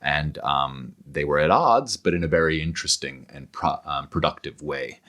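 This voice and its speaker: Male interview guest, extremely low voice, erudite and digressive, thinking aloud in halting intellectual conversation. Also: a bit bright. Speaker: interview guest, scholar and author.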